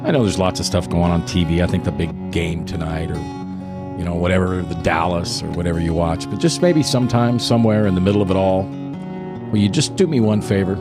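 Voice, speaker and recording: A man's voice: low voice, fairly quick, loud music behind the voice. A man hosting a radio talk show, throwing in short encouraging interjections. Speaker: radio host.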